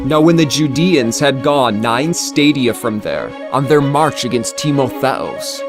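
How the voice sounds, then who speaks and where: A man narrating scripture in a solemn, deliberate, emphatic storytelling style, as in a dramatized audiobook. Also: mid-range voice, lively intonation, over music.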